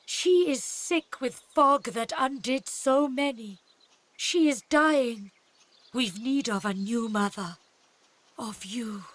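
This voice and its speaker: A woman playing a blind elf seer in a fantasy game, speaking gravely and haltingly in archaic phrasing. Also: a little high, a bit bright. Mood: solemn urging. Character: solemn blind female elf seer, accusing and reverent. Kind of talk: fantasy video game acting.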